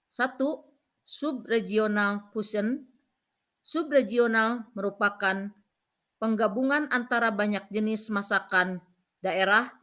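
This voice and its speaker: A woman, a teacher, narrating a scripted lesson and reading out points in short, measured, instructional phrases. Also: medium pitch, fairly bright tone. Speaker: vocational school cooking teacher.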